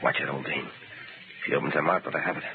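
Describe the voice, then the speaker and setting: An actor playing a curt, menacing American gangster boss who barks slangy orders in 1930s radio style. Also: male voice, extremely low pitch, steady tone, quick pace. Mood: bossy. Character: hard-boiled gangster boss, menacing, bossy and impatient. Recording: scratchy, noisy, narrow-band 1930s radio.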